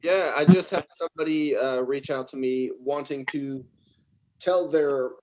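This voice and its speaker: A man's voice: medium pitch, a little slow, a little hiss or hum, rough recording. Guy chatting easy and friendly, mid voice, chimes in a lot. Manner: relaxed, agreeable, frequent backchannels. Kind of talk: casual group conversation.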